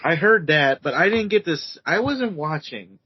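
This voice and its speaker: A man's voice: fairly high pitch, animated, measured pace, husky, no echo. A man co-hosting a casual podcast, telling a story in a hesitant, rambling way. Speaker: podcast co-host, wrestler. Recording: dry, dull lo-fi audio.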